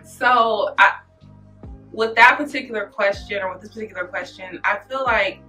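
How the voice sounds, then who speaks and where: A woman's voice: medium pitch, normal speed, bright, forceful tone, soft background music, rough recording. A woman in her twenties, a guest on a casual chat show, talking earnestly with restarts then firm emphasis. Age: 20s.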